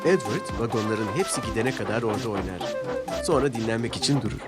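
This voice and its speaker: A man's voice: fairly low pitch, animated, fast talker. A man reading scripted narration for a children's story. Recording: music behind the voice.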